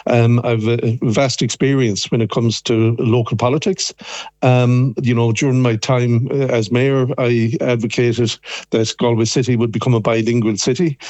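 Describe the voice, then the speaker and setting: An Irish man talking over the phone about his record in local politics, halting often with ums and restarts. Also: medium pitch, flat delivery, darkish tone, dry recording, muffled recording. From Ireland. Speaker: councillor guest on the phone. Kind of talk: phone interview. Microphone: phone line.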